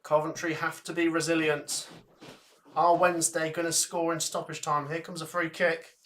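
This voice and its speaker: A man giving live fan commentary on a match, excitable and shouting at goals, his voice going hoarse and breathless. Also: on the high side, normal speed, a little hiss or hum. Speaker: watchalong host commentator.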